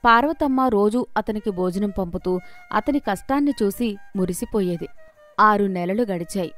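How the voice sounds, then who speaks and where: A woman's voice: medium pitch, normal speed, fairly bright tone, soft background music. A woman storyteller reading a scripted moral folk tale.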